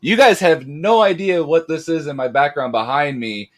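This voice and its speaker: A man hosting a casual live talk show, chatty and rambling and joking at himself, his voice a bit raspy today. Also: a little high, normal speed. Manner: chatty, rambling, self-deprecating. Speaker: podcast host. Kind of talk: casual chat.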